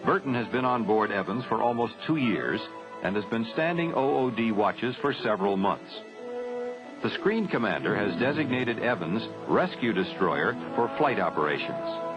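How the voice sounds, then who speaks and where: A man's voice: lowish voice, animated, music in the background. Formal male narrator on an old film soundtrack. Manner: formal, measured, matter-of-fact. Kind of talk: scripted training film narration. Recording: dull 1970s film soundtrack.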